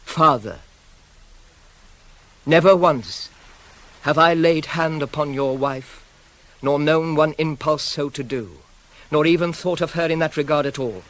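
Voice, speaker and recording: An actor playing a proud, self-controlled young prince wronged by his father, in a formal, measured, declamatory classical tragedy style for radio. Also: fairly high pitch, fairly bright tone, poor audio. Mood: solemn, pleading.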